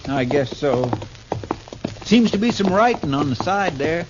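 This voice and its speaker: A man's voice: a little high, animated, a little slow. An American man playing a folksy, naive deputy sidekick in a 1950s radio western, with a drawling, deferential manner. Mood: puzzled. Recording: muffled, very noisy old radio recording.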